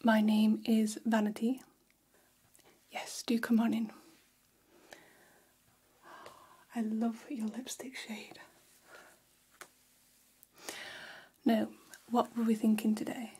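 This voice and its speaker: Casting: gossipy vampire salon stylist woman acting, ASMR roleplay, very breathy whisper, slow and gentle. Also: a little high, flat delivery. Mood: soft, attentive. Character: gossipy female vampire hairstylist, attentive and chatty. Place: small decorated room, indoors.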